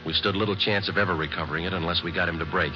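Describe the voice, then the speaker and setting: A man playing a terse, deadpan police sergeant who also narrates, in a 1950s radio drama. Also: sits low, racing, over music, lightly acted. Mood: narrating. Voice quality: deadpan, clipped. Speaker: detective narrator. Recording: muffled old radio broadcast.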